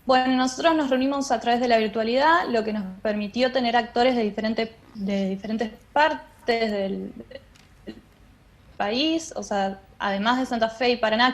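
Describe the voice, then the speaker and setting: A woman with an Argentine accent explaining things as a guest in an interview. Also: on the high side. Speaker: interview guest, theatre director and drama teacher.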